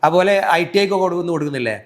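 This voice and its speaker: A man's voice: high voice, animated, racing. A middle-aged man hosting a casual promotional interview, chatty and prompting his guest with tag questions. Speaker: host interviewing a shop seller.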